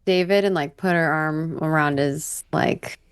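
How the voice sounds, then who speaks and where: A woman's voice: deep voice, steady tone, unhurried, smooth. A woman casually explaining her character's moves as a player in a tabletop game. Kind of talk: casual game chat and narration.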